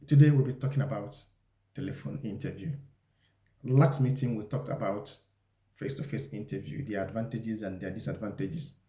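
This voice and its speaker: A man presenting an instructional talk, explaining things deliberately and speaking straight to the viewer. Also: fairly low pitch, mellow. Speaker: video presenter giving job interview advice. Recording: echoey, roomy sound.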